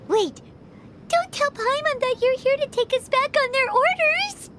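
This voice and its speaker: A woman voicing a small, chatty, excitable fairy companion with a girlish, exclamatory manner in a video game. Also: very high voice, slow pace, a little hiss or hum, full character voice. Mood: nervous. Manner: exclamatory, speaks of herself in third person. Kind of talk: video game cutscene acting.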